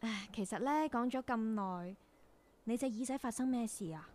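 A woman's voice: a little high, moderate pace, a little mellow, natural voice. A woman acting in a Cantonese radio drama as a perky, plucky young intern, chatty, blunt and full of exclamations. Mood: curious, considerate. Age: young adult.

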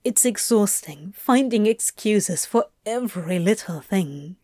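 A woman's voice: medium pitch, lively intonation, measured pace, warm tone, dry recording, clean studio sound. A woman voicing an anxious, guilt-ridden male angel who is near tears and stammers, repeating his words. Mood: tearful confession. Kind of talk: audiobook fan fiction reading.

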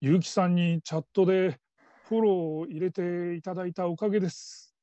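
A woman's voice: on the low side, fairly even intonation, brisk, dark tone, no room sound, lightly acted. A woman playing a nervous, humble call-centre trainee in her fifties in a radio drama, stammering and stiffly over-polite. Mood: humble, relieved. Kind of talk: Japanese radio drama acting.

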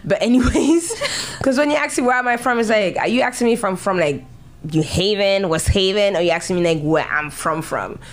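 A woman from Ghana hosting a casual podcast chat, telling stories with lively quoted voices and frequent laughter. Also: medium pitch, a little fast. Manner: animated storytelling, acts out quoted voices, laughs often. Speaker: podcast host.

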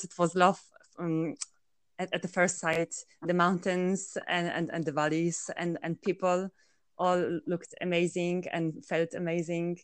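A woman's voice: lowish voice, clear, resonant tone, dry recording. A woman from Poland, a working mother, answering interview questions in a hesitant way with many fillers. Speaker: interview guest, blogger.

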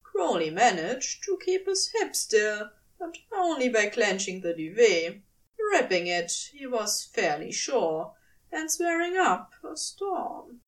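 A woman's voice: fairly high pitch, very animated, takes it slowly, far from the mic, low-quality recording. A woman reading fiction aloud as a scripted, dramatic narration.

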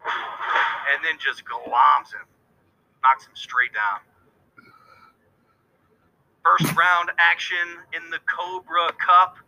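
A man calling wrestling play-by-play as the show's commentator, getting excited and shouting on big moves. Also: on the high side, very slow, creaky, pauses often, low-quality recording.

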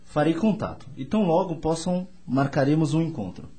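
An actor playing a courteous, well-informed gentleman in a radio soap opera, with formal, florid manners. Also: a little high, animated, a little fast, breathy edge. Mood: confiding, informative. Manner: formal, florid, theatrical politeness. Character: courteous, well-informed Frenchman, eager to introduce himself.

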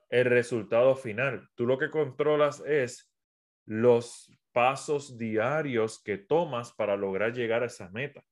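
Man, Puerto Rican accent mixed with South American, mid pitch, casual live-stream business coaching, direct to viewers, lots of fillers and restarts. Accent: Puerto Rican Spanish with a South American mix. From Puerto Rico. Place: at home, phone on a tripod.

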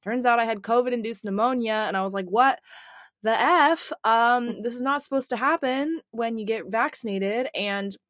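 Twenties gal on a podcast, bit raspy from being sick, rambly, lots of "like", dry close mic. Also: female voice, fairly high pitch, moderate pace, a bit bright, no room sound. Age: 20s. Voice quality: slightly raspy. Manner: chatty, lots of "like" and restarts. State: slightly raspy, recovering from a cough. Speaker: podcast co-host. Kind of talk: casual chat.

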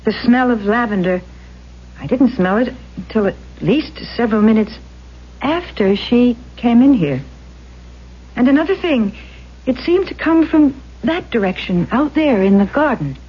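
A woman's voice: a little high, lively intonation, unhurried, breathy edge. An actress playing a curious, polite young woman in a 1970s radio drama, with a hesitant, wondering delivery. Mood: wondering, puzzled. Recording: muffled 1970s radio broadcast.

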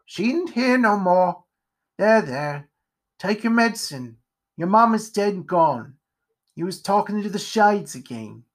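Crabby spider, higher pitch, folksy grammar, exasperated scolding, storybook character. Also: sing-song, unhurried. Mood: gruffly soothing. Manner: folksy ungrammatical scolding with colorful insults. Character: grumbling, scolding, irritable one-eyed old spider.

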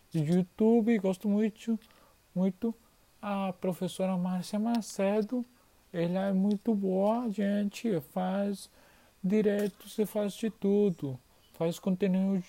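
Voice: mid-range voice, dark tone, voice pushed up. A performer voicing a 12-year-old boy from Argentina who is learning Portuguese, talking eagerly and repeating words as he searches for them.

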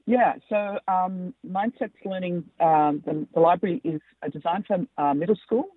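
A woman with an Australian accent, a company founder answering interview questions, speaking haltingly with many fillers. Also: on the low side, dry recording. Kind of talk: interview over the phone. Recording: tinny dry phone line.

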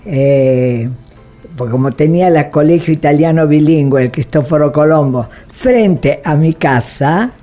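A woman of eighty-eight with an Argentine accent, reminiscing in a digressive, halting way as an interview guest. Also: low-pitched, dark tone, faint music behind. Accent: Argentine Spanish. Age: 88 years old. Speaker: interview guest, president of a human rights association.

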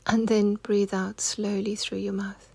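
A woman leading a guided meditation in a soft, husky, near-whispered voice, giving gentle, unhurried, soothing instructions. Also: medium pitch, flat delivery, a little hiss or hum.

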